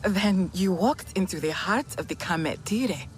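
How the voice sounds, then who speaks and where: An actress playing an earnest young clanswoman who quietly defies her leaders. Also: on the low side, lively intonation, unhurried, slight background noise. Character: earnest young female clan member, quietly rebellious.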